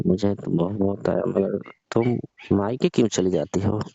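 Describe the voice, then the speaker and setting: A man acts out a lecherous older father-in-law in a staged comic phone call. The voice is low and dark, coaxing and insistent, and the audio is dull and lo-fi. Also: fairly even intonation, normal speed, vocal fry, breathy edge, no room sound. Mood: coaxing, pleading.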